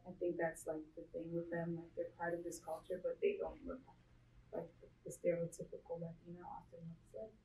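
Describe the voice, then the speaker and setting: A young woman talking casually in quick bursts, often using "like". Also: fairly low pitch, monotone, vocal fry, dark tone. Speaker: guest. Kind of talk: casual conversation. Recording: roomy, distant with faint noise.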